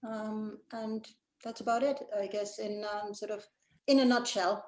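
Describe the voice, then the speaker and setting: A woman's voice: medium pitch, fairly even intonation, a little slow. Finnish woman with a mid-Atlantic lilt, echoey call. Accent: Finnish, with a mid-Atlantic lean. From Finland. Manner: thoughtful, hesitant with many ums, laughs easily. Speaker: guest, executive director. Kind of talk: casual interview chat. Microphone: video call.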